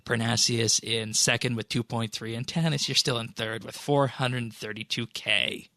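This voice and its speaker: Creaky-voiced man hosting a podcast. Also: medium pitch, steady tone, normal speed, sharp tone, no room sound, clean studio sound. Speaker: podcast host. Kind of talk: podcast announcements and updates.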